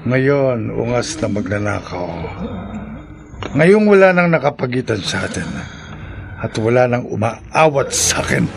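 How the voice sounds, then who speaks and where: An actor playing a ruthless, hot-tempered killer in a horror radio drama, with a menacing, taunting delivery. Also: male voice, mid-range voice, creaky, exaggerated voice. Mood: gloating. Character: ruthless violent killer, hot-tempered husband-type man.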